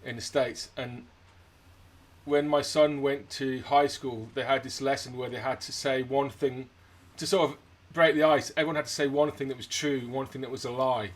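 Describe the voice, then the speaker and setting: A man's voice: mid-range voice, fairly even intonation, normal speed. A man from Scotland interviewing casually, with a dry, wry sense of humour. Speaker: interviewer, jazz musician.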